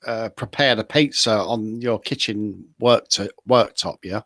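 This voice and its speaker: A man's voice: lowish voice, measured pace, a bit bright, no echo, clean studio sound. A man casually giving practical tips as a show panelist.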